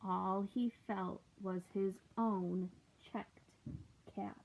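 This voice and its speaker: Slow, gentle woman reading a storybook. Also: medium pitch, fairly even intonation. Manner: gentle, asks listeners questions. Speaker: read-aloud storyteller. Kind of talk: reading a picture book aloud to children. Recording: tinny lo-fi recording.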